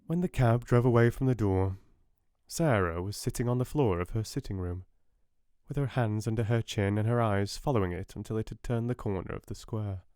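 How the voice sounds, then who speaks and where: A soft-spoken man with a British accent, reading a storybook as an audiobook narrator. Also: fairly low pitch, moderate pace, no room sound, studio quality.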